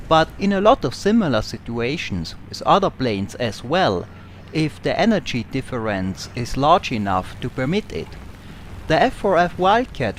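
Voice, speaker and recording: A young man with an Austrian accent talks in a calm, explanatory way. His voice sits a little high and moves around a lot in pitch. A faint hum of game noise stays under the voice-over. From Austria, Tyrol. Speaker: gaming channel host. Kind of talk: Q&A over flight game footage.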